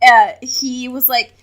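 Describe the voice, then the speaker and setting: Casting: young female podcast co-host, higher-pitched and piercingly bright, casual, self-mocking, a touch theatrical. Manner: self-mocking, playfully dramatic. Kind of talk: casual comedic chat.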